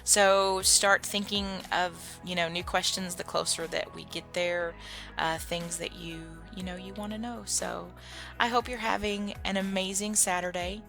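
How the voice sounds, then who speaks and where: A woman's voice: on the low side, fairly even intonation, measured pace, vocal fry. An American woman chatting casually to her followers as an online channel host, informal and a little hesitant and self-effacing. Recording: music bed behind the voice. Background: background music.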